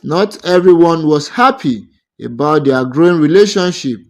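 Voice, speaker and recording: A man narrating a scripted romantic folktale as a storyteller, in deliberate, measured short phrases. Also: fairly high pitch, lively intonation.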